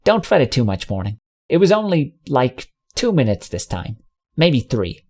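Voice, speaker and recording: A man's voice: mid-range voice, very animated, moderate pace, a little mellow. A man putting on a brash folksy pony mare. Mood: blunt teasing. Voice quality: folksy, blunt, teasing drawl.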